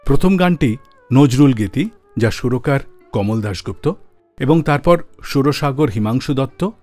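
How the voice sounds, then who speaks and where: A man hosting a radio music show, narrating from a script in a measured storytelling way with dramatic emphasis. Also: mid-range voice, animated, darkish tone, no room sound.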